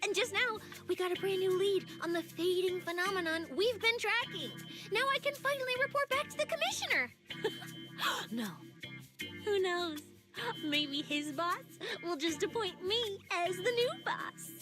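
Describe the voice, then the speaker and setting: A woman playing a brash, boastful young public security officer in a video game, cocky, excitable and chatty. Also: very high voice, normal speed, over music. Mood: excited, boastful.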